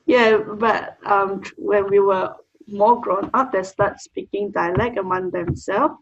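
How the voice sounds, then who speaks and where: Malaysian woman with a husky, gravelly voice. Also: mid-range voice, fairly even intonation, a little slow, highs cut off. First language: Mandarin. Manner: plain, chatty, with short restarts. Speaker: guest, early childhood educator. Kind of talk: casual interview answers.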